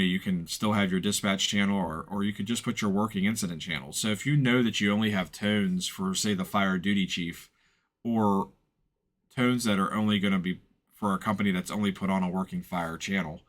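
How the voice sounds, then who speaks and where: A man narrating an informal software walkthrough in a plain-spoken, explanatory way, hedging as he goes. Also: low voice. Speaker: tutorial presenter and firefighter.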